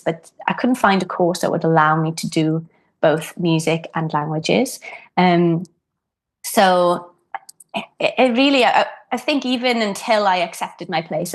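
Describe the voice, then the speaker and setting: A woman's voice: on the low side, gravelly. A woman in her thirties, a harpist, talking reflectively about her career in a podcast interview, with frequent ums. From Wales. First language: Welsh. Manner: thoughtful, frequent ums and restarts. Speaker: guest harpist.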